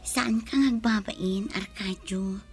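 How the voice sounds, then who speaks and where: A woman with an Ilocano accent playing an affectionate young bride-to-be in a radio drama, gentle and forthright, with a husky voice. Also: medium pitch, slow pace, soft background music. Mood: soft, tender.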